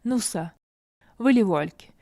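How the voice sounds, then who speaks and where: Calm mom voice in a dubbed cartoon, patient and gentle, mid pitch. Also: female voice, normal speed, creaky.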